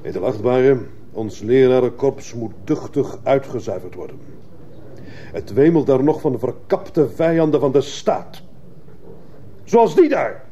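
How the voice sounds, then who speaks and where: Man acting a bereaved fascist father, indignant, declamatory, very dark voice, swooping pitch, radio play. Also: expressive, unhurried, close to an everyday voice. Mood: indignant, accusing. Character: accusing, zealous fascist father of a murdered son. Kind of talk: radio drama acting, courtroom oratory. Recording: muffled old radio play, faint noise.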